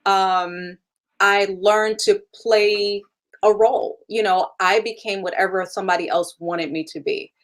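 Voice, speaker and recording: A woman's voice: mid-range voice, fairly even intonation, measured pace. Candid woman guest who laughs easily. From Germany. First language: German. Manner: candid, laughs often, many fillers. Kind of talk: casual interview.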